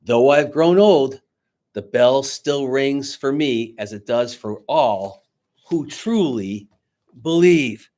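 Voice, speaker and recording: A man reading a picture book aloud dramatically to children, pausing to coax them with questions like a teacher. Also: medium pitch, expressive, darkish tone. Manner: dramatic, teacherly, coaxing young listeners with questions.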